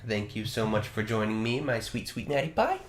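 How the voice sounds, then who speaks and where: A man with a low voice hosts a casual podcast from home, recapping a story with earnest enthusiasm. He sounds a bit self-conscious and chuckles now and then. The recording carries a noticeable room echo. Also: brisk. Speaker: podcast host. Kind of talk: casual podcast narration and chat.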